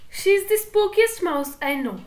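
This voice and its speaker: A woman reading a children's book aloud in an expressive, playful storytelling manner. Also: extremely high pitch, measured pace, echoey room. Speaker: reader.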